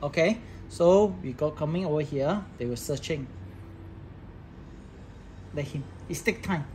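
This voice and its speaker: Man giving tutorial, clear background noise. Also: on the high side, lively intonation, unhurried, warm tone, rough recording. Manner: instructional, talking through each step while working. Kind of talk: informal hands-on tech tutorial. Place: indoor office workbench with electronic devices.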